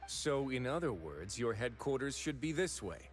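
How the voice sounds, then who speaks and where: A man voicing a calm, commanding prison warden in a game who speaks in a measured, authoritative way, heard as game audio with music underneath. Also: medium pitch, lightly acted.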